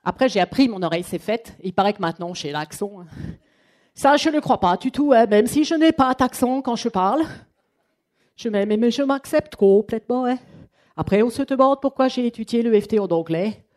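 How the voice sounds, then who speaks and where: A woman presenting a live coaching workshop to a room of people, talking in a chatty, lively way and acting out what clients say. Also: fairly high pitch, fairly quick. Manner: lively, chatty, acts out quotes. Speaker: trainer and coach. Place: training room with an audience.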